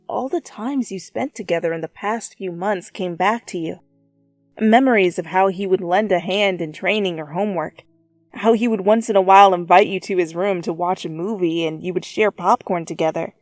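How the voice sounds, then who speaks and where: A woman reading fan fiction aloud as an expressive, theatrical second-person narration. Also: medium pitch, moderate pace, dry recording, clean studio sound. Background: music.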